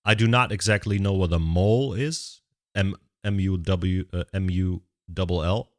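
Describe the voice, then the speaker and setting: Low-voiced young man. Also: normal speed, no echo, studio quality. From Netherlands. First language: Dutch. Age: 20s. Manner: thinks aloud, restarts words mid-sentence. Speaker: music reaction channel host. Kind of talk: casual reaction commentary.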